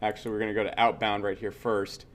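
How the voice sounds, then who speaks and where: A man's voice: fairly low pitch, little pitch movement, quick pace. A man teaching a casual screen-share tutorial, speaking bluntly and conversationally, repeating himself and checking in with short tags. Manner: blunt, opinionated, swears freely. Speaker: software instructor.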